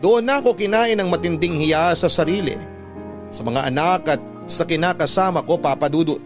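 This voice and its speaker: A man narrates a first-person letter in classic radio storytelling style. His voice sits a little high. Instrumental music plays clearly behind him. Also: moderate pace.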